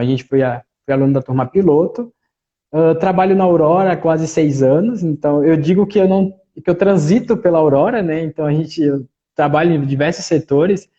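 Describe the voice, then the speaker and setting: A man with a mix of Bahian and southern Gaúcho accents, talking as a winemaker guest in a relaxed live interview, often tagging "né". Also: a little high, dull audio. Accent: mixed Bahian and Gaúcho Brazilian Portuguese. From Salvador, Bahia, Brazil. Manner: relaxed, talkative, frequent "né" tags.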